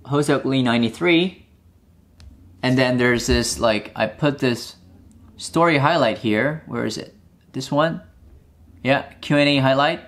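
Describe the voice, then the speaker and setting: A man's voice: mid-range voice, a little slow, pauses often. Korean-accented young man chatting casually. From South Korea. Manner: relaxed, thinking aloud with fillers. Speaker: climbing vlogger. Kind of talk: casual Q&A talk to camera. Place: small indoor room.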